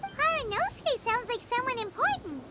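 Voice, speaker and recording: A woman voicing a tiny, excitable, cheeky fairy sidekick girl with a squeaky childlike voice in an anime game. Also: moderate pace, heavy background noise. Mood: curious. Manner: refers to self by name, exclaiming. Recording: music playing behind the voice.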